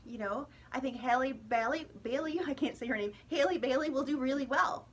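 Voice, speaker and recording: A woman's voice: on the high side, a bit bright, slightly rough. An American woman vlogger giving a casual opinion monologue to viewers, chatty and emphatic with exasperated asides.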